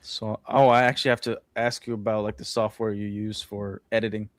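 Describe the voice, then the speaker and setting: A man's voice: lowish voice, little pitch movement, fairly quick, no room sound. A man for whom English is not his first language, co-hosting a livestream over a video call and explaining things at length in a casual, talkative way. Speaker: co-host.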